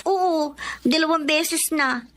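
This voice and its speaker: Loyal little sister: very high, childlike, plaintive, outspoken. Radio drama. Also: female voice, slow, a bit bright. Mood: worried, defensive. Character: young girl, loyal, outspoken, respectful younger sister. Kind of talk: Filipino radio drama acting.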